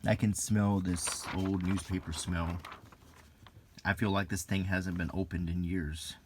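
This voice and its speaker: A man giving unscripted, ASMR-style commentary as he browses, in a musing, halting way with pauses. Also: deep voice, flat delivery, noisy background. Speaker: video host reviewing an old magazine.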